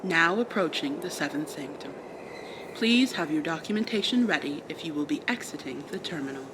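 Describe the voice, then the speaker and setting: A woman voicing a formal train announcer in an audio roleplay, measured and impersonal. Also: low voice, expressive, background noise, voice pushed down. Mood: calm, official. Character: formal train announcer reciting terminal notices. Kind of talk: scripted public announcement in roleplay.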